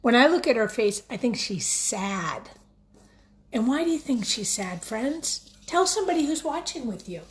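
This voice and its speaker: A woman teacher reading a picture book aloud expressively, pausing to put questions directly to young listeners. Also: a little high. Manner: expressive, addresses children directly.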